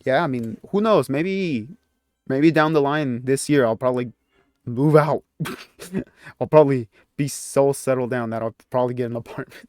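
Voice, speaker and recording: A young man in his twenties rambles casually into a close, dry mic. He often stops himself mid-sentence and chuckles at his own remarks. His pitch sits in an ordinary middle range. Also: darkish tone, dry recording. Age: 20s. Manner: rambling, self-interrupting, laughs at himself. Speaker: YouTuber. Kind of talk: casual reflective vlog talk.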